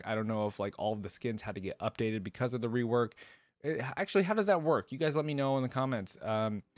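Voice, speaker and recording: A man with a fairly low voice, recorded dry and close to the mic. He hosts reaction commentary in a casual, conversational way, thinking aloud and asking his audience questions as he reads. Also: no echo. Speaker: host of a reaction show.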